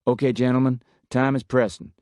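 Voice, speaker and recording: A man voicing a brisk male American commander with a Texan drawl, giving a loud, commanding briefing. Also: mid-range voice, level tone, clean tone, dry recording, studio quality, voice pushed up, close to an everyday voice. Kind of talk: audiobook character voice.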